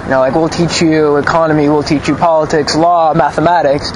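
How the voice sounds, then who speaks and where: A young man with a slightly higher voice, talking outdoors with loud traffic noise behind him. He rambles loosely, full of fillers and restarts, as a student being interviewed on the street. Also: flat delivery, fairly quick. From Ukraine, raised in Los Angeles. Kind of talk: street interview, casual opinions. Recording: noisy outdoor street recording.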